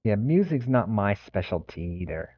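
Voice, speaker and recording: A man's voice: fairly low pitch, very animated, moderate pace. A man streaming a game live, making casual commentary in short remarks. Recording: muffled mic over game music.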